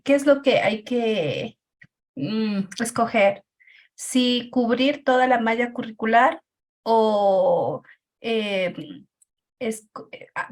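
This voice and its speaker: A woman whose first language is Quichua shares her own experience as a teacher in a group discussion. Her voice sits a bit high and she speaks slowly. She pauses often and fills the gaps with soft hesitation sounds. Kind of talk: personal account in a group discussion.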